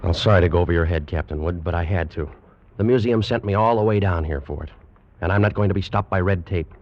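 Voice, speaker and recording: An actor playing a determined male animal collector who gives brisk, dramatic first-person narration in a 1940s radio drama. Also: low voice, close to an everyday voice. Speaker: narrator and lead. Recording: dull old radio recording with music.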